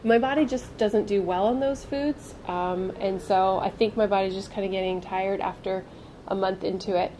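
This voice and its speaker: A woman's voice: medium pitch, steady tone, normal speed, mellow, a little hiss or hum, rough recording. A woman hosting a family travel vlog, talking casually with a stuffed-up nose from a cold. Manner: chatty, candid, many fillers. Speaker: vlog host.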